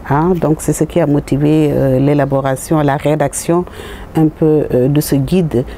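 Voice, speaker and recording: A middle-aged woman with a Senegalese accent explains her work as an author in a halting, word-searching way. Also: low-pitched, steady tone, warm tone. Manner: hesitant, halting, searching for words. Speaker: author and jurist presenting own book. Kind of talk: explanatory promotional interview. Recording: noticeable background noise.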